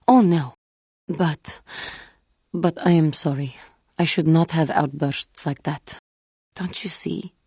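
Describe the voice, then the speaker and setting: Game role: haunted, self-doubting alien warrior woman, low and husky, earnest formal delivery with simmering temper. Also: warm tone, no echo, clean studio sound. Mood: contrite, earnest. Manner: formal, measured, earnest speech with guarded intensity. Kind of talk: video game character dialogue.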